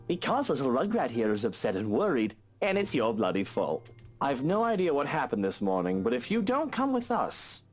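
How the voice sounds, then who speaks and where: A man's voice: medium pitch, wildly swooping pitch, normal speed. An actor playing an arrogant, impatient man in a visual novel game, with a sarcastic, haughty manner. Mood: demanding, irritated. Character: arrogant, grudging, impatient man with a soft spot. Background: game music.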